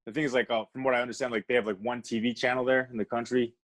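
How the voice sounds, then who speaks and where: An American man telling stories casually as a podcast guest, halting and often dropping in "like". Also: mid-range voice, little pitch movement, a bit bright. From United States. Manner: halting, peppered with "like". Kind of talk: casual conversational storytelling.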